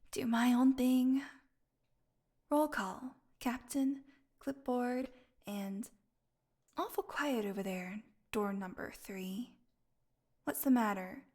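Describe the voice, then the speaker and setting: A woman's voice: on the high side, darkish tone, breathy edge, full character voice. A woman playing a snarky, defiant, starved vampire prisoner in an audio roleplay, with a sarcastic, taunting drawl.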